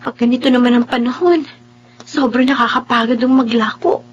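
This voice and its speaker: A woman's voice: fairly high pitch, fairly quick, breathy edge, highs cut off. An actress playing a hardworking young woman who sells rice cakes, earnest, plaintive and polite, in a Filipino radio drama. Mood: weary. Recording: background music under the voice.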